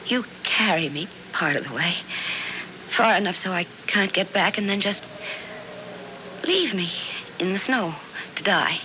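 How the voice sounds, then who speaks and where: A woman's voice: medium pitch, expressive, measured pace, long pauses, natural voice. Woman acting weak bitter wife in old radio drama, pointedly accusing her husband, dull sound w/ hiss. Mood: bitter, quiet. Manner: pointed, challenging. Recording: muffled, noisy 1970s radio recording.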